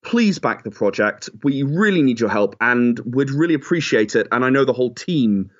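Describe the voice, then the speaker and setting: A man's voice: medium pitch, lively intonation, normal speed. A man speaking as an interview guest, giving advice and explaining in an earnest, emphatic way. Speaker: interview guest, audio drama and game creator. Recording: slightly dull, lo-fi audio.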